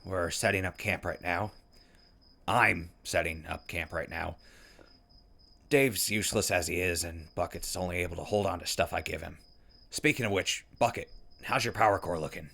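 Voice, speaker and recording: A man playing a weary, grieving, sarcastic wasteland radio survivor in an audio drama, muttering haltingly and wryly through his log. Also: low voice, vocal fry, pushed and bright, full character voice. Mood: weary, matter-of-fact.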